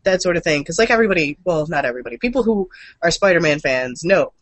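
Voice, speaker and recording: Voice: mid-range voice, lively intonation, quick pace, a bit bright, no echo. A co-host chatting casually and informally, with a wry, joking way of talking. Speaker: co-host of a gaming and comics news show. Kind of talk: casual chat about comics, films and cartoons.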